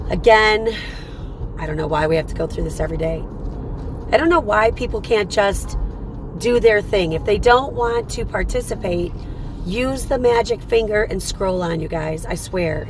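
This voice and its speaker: A woman with a hoarse, rough, tired voice hosting a motivational live stream, talking earnestly and repeating her points. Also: medium pitch. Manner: repetitive, earnest, coaching tone with many rhetorical "right?".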